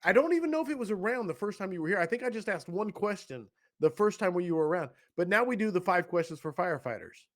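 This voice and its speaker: A man's voice: high voice, expressive, quick pace, hardly any pauses. A man hosting a casual podcast interview, enthusiastic and playful, laughing often. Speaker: podcast host.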